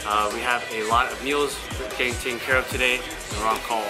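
A man's voice: mid-range voice, steady tone, a little fast, rough recording. A young man, a meal prep business owner, casually narrating a vlog with loose, upbeat talk and many fillers. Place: commercial kitchen and gym. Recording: loud background music.

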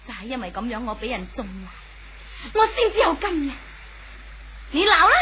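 A bitter, possessive wife in an old radio drama. Her Hong Kong Cantonese voice is high and brittle, swinging widely with emotion. Faint hiss sits behind her. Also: very animated, moderate pace, sharp tone, heavily acted. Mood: bitter, despairing. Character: spurned, jealous, bitterly despairing wife, volatile and possessive.

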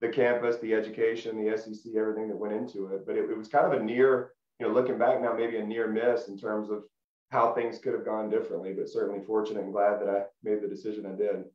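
A man, a guest telling his story in a relaxed way with many restarts over a video call. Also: on the low side, fast talker. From Middle Tennessee. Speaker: guest, former college football player. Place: small room at home, indoors. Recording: roomy, dull video call audio.

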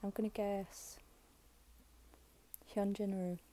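A young woman with a slightly hoarse voice, giving low-key, halting commentary on an unboxing. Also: flat delivery, warm tone. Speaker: K-pop album unboxing vlogger. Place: bedroom, filming items on a bed.

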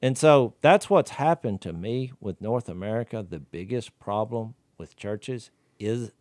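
A man, co-hosting a podcast, talking in an animated, riled-up way on a studio mic. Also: on the low side, a little slow, no echo. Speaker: podcast co-host. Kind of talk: casual religious discussion. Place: wood-paneled studio room. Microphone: studio mic with headphones.

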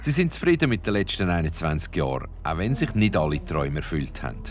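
A man's voice: low-pitched, sing-song, fast, soft background music. A man, narrating a TV retrospective with a light, wry touch. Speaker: show host, narrator. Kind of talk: scripted TV voice-over narration.

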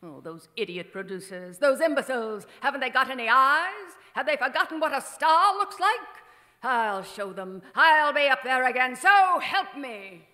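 Haughty aging silent-screen queen, radio play; forceful bright tone, reverberant hall. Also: high-pitched, expressive, moderate pace. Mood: furious, defiant. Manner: grand, theatrical, haughty. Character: imperious, vain, faded silent-film star woman. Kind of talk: old-time radio drama acting. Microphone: vintage-style stand microphone. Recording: live theater stage, long hall reverb.

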